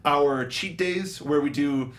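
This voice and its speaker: Higher-pitched American man hosting casually. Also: takes it slowly, a bit bright. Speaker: show host. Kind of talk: casual panel chat and show hosting.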